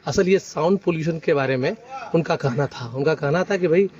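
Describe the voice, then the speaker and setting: A man offers an opinion in a street interview. His voice is a touch high and the sound is rather dull. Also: fairly quick, a little mellow, a little hiss or hum. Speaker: interviewed local resident.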